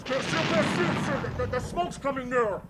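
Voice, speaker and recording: An actor playing a panicking young soldier on artillery watch in a radio drama, urgent and stammering, on a noisy old recording. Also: male voice, very high voice, normal speed. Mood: panicked.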